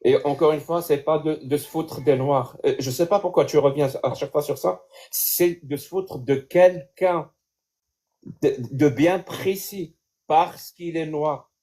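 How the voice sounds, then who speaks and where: High-voiced man with a Kabyle accent. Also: fairly even intonation, unhurried, dark tone. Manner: earnest, emphatic, stammers on repeated words. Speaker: debate guest. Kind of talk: live debate.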